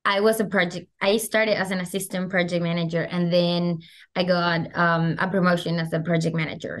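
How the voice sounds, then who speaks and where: A young Venezuelan woman whose first language is Spanish talks in a slightly low voice, animated and quick with fillers. She sounds like a guest on a video call from a small room at home. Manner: animated, gestures a lot, many "like" fillers. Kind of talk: casual interview chat. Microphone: video call webcam.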